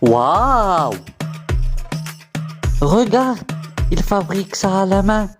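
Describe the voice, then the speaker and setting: A woman plays a sweet, excitable young boy in a children's cartoon. The delivery is slow and full of wonder, with lively rises and falls. Music plays clearly behind the voice. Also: medium pitch. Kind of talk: scripted children's cartoon dialogue.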